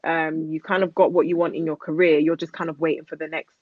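A woman's voice: sits low, level tone, fast talker, dry recording. A woman in her thirties speaks as a podcast guest in a candid, rambling way, full of small fillers and restarts. Her voice is recorded close and dry, with a slightly muffled, dull tone. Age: 30s. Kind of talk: casual chat.

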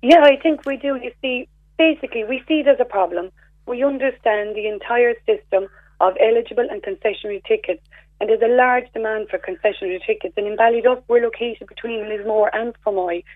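A hoarse woman calling in to a radio show, methodically explaining a problem on behalf of a group of parents. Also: a little high, fairly quick, no room sound. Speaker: caller, parents' representative. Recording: phone line.

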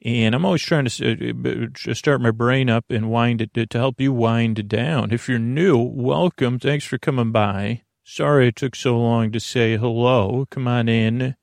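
A man with a creaky voice hosting a bedtime podcast, meandering and halting as he stammers and circles back on his words. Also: mid-range voice, fairly even intonation, dry recording, studio quality. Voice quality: creaky, gravelly. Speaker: podcast host. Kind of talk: rambling bedtime podcast monologue to help listeners sleep.

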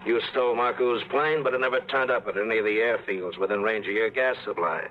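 A man's voice: on the low side, a little fast, natural voice. An actor playing a cold, smug male crook with a sneering, threatening manner in an old radio drama. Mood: menacing. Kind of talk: 1950s radio drama acting. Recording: muffled old radio broadcast.

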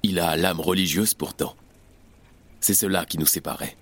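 Very low, husky, austere revolutionary man, acted. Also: fairly bright tone. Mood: grim, reflective. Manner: grave, austere, deliberate. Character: harsh, unbelieving, hard-line male revolutionary. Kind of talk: audio drama acting of a stage play.

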